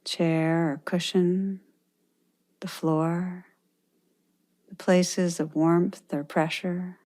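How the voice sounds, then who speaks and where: Slow, low-voiced woman guiding meditation. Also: little pitch movement. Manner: gentle, unhurried instruction with pauses. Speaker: meditation teacher. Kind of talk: guided meditation.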